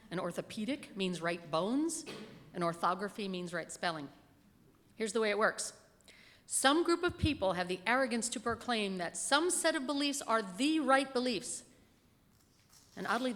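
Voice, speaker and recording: A woman's voice: on the high side, fairly bright tone. A middle-aged woman reads a written sermon aloud from a lectern, speaking into a pulpit microphone in a church hall. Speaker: lay reader delivering a minister's sermon. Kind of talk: sermon read from a script at a lectern. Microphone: gooseneck lectern microphone. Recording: echoey hall with long reverb.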